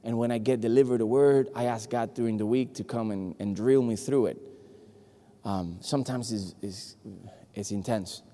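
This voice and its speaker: A man from Puerto Rico, Spanish his first language, with a Puerto Rican accent, preaching an animated, joking sermon to a congregation. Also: medium pitch, normal speed. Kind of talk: lively sermon. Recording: long hall reverb.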